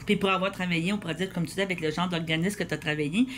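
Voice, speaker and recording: A woman's voice: lowish voice, steady tone, very fast. A woman with a Québécois accent hosting a convivial radio interview, chatty and rambling, often repeating words. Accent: Québécois French. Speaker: radio host. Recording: community radio with faint noise.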